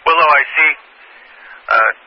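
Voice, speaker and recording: A man's voice: on the high side, measured pace, dull audio. A man working as a fire dispatcher on two-way radio, matter-of-fact and clipped, repeating details back in procedural phrases. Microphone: radio dispatch channel. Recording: noisy band-limited scanner radio, clicks.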